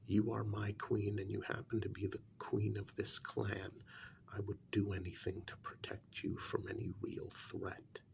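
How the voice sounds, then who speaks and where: A man playing an intense, devoted human familiar in a soft-spoken ASMR role-play, speaking in a slow, hushed, deliberate half-whisper with gravel in it. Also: fairly low pitch, level tone, a little hiss or hum. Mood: devoted, reassuring.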